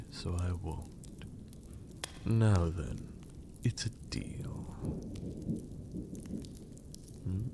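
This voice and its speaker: A man playing a teasing, possessive vampire master in a breathy, formal, seductive ASMR roleplay. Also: noisy background, full character voice. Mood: coaxing. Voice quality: breathy, low, creaky. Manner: slow, deliberate, formal, seductive.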